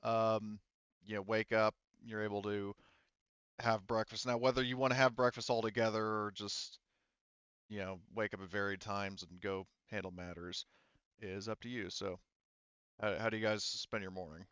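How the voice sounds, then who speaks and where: A man narrating casually as game master of a tabletop roleplaying game, in a halting, conversational way. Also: fairly low pitch, steady tone, fairly bright tone, smooth, no echo, lo-fi sound.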